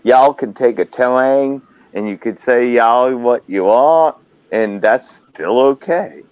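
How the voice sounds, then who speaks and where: A man's voice: medium pitch, slow, clean tone, no room sound, dull audio. A man hosting a casual live chat, rambling and hesitant as he talks. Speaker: host.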